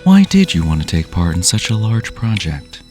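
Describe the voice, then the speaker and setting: A man's voice: deep voice, wildly swooping pitch, moderate pace, darkish tone, soft background music. A man reading out scripted interview questions as the interviewer.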